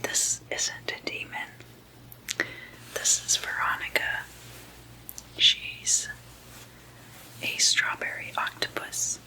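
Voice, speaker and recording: A woman hosting ASMR, speaking informally in a soft, breathy, unvoiced whisper with a deliberate, gently playful manner. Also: rough recording. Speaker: ASMR video host.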